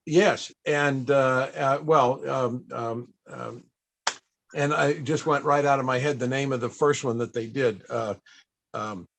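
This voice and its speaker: A man's voice: medium pitch, fairly even intonation, a little slow, gravelly, fairly bright tone. Man telling stories as panel host. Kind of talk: conversational storytelling to an audience.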